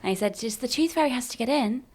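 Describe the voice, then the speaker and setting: A woman, co-hosting a casual podcast chat and telling a family story with lively quoted voices. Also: fairly high pitch, quick pace. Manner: storytelling, animated when quoting others. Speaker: podcast co-host.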